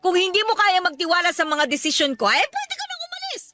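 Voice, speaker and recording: A very high, forceful voice of a domineering mother in a radio drama. She scolds and gives orders like someone who expects to be obeyed, with faint music underneath. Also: wildly swooping pitch, fast talker, exaggerated voice. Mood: stern, scolding. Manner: scolding, commanding, threatening. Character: domineering, status-conscious mother of grown children. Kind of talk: Filipino radio drama acting.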